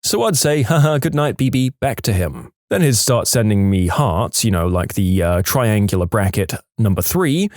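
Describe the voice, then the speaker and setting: A man's voice: fairly low pitch, very animated, moderate pace, hardly any pauses, dry recording, studio quality. A man narrating read-aloud online stories in a scripted storytime style, as the host of a channel.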